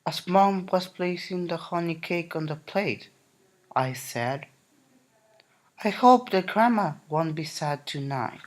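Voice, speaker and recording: A man reading a children's picture book aloud in a gentle, unhurried way. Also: a little high, poor audio. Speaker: narrator. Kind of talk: storybook reading.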